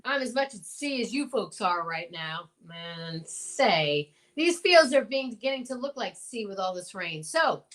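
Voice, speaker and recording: A woman playing a stubborn, folksy small-town male marshal in an old-time radio drama, blustering and halting. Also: medium pitch, big pitch swings, a bit bright. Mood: exasperated.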